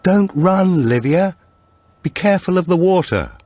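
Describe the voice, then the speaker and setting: A male narrator plays a young wife and mother in an audiobook, caring and brisk. The voice is lifted well above his natural low register. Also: animated, darkish tone. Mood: alarmed. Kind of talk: audiobook character voice.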